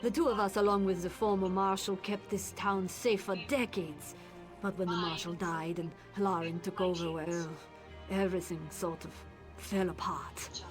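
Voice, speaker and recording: An actor playing a guarded middle-aged town guard captain in a video game, talking low and urgently, with faint music underneath. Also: male voice, steady tone, moderate pace, natural voice. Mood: hushed urgent. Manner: hushed, conspiratorial, urgent. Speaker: game character. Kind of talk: studio video game voice acting.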